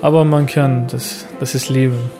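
Man, gravelly flat voice. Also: medium pitch, loud music behind the voice. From Iran. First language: not German. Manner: simple, halting learner's phrasing with pauses.

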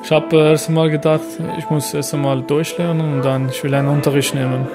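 Man, gravelly flat voice. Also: fairly high pitch, over music. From Iran. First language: not German. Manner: simple, halting learner's phrasing with pauses.

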